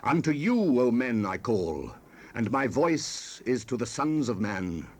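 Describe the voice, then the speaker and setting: A man's voice: mid-range voice, sing-song, slow, husky, exaggerated voice. Man proclaiming as exalted, righteous spirit of Wisdom. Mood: exalted, commanding. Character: Wisdom personified, proud, righteous, ancient female spirit. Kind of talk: dramatized Bible reading. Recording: 1970s cassette rip with hiss.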